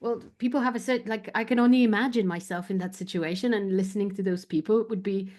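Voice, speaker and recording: A woman's voice: mid-range voice, quick pace, a little mellow. Thoughtful Polish-born woman guest. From Poland. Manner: thoughtful, searching for words, many fillers. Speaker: guest, business coach. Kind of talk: reflective conversational interview.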